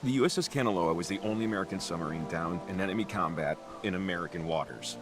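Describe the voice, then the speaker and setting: An actor playing a chatty, excitable young man in a video game, rambling and hesitant in a casual way. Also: low voice, fast talker, over music, natural voice. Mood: friendly, chatty. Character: chatty, excitable young man, goofy local believer in ghost stories. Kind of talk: naturalistic video game dialogue.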